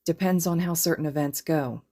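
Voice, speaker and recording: A woman voicing a protective, laid-back teenage boy with a calm, understated manner in a story reading. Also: low voice, moderate pace. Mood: calm, gentle.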